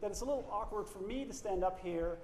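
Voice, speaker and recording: Man, Dutch accent, high mellow voice, wry measured introduction, lecture hall reverb, faint room noise. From Netherlands. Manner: measured, wry, frequent ums and uhs. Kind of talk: formal speaker introduction with dry humor. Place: lecture auditorium.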